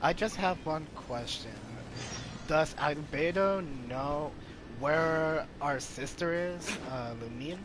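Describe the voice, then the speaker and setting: A man giving casual gameplay commentary, halting as he muses aloud. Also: fairly high pitch. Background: clear background noise.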